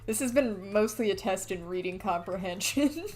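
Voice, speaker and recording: A woman's voice: mid-range voice, animated, normal speed, darkish tone. Young female streamer, mid-range voice, casual let's play chat and read-outs over faint game music.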